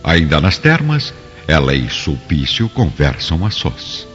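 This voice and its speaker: A man's voice: extremely low pitch, sing-song, measured pace, fairly bright tone. A man with a Brazilian accent giving dramatic scripted narration for a radio drama. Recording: muffled radio drama with music behind.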